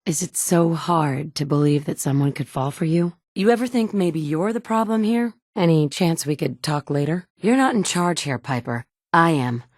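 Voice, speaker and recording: A woman's voice: low-pitched, moderate pace, hardly any pauses, a little mellow, no echo, clean studio sound. An actress voicing a blunt, wry woman survivor in a video game, plain-spoken and direct, by turns tender and curt. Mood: even. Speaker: player character. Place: recording studio.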